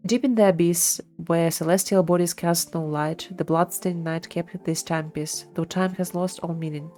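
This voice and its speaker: A woman's voice: on the low side, fairly even intonation, moderate pace, warm tone. A woman streamer giving live commentary on a video game and its lore. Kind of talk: live gameplay commentary with lore read aloud. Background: game music.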